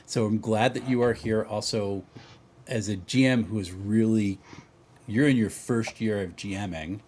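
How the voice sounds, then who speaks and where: A man's voice: lowish voice, steady tone, moderate pace, a little mellow, slight background noise. A man hosting a casual livestream chat and introducing his guests. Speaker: host.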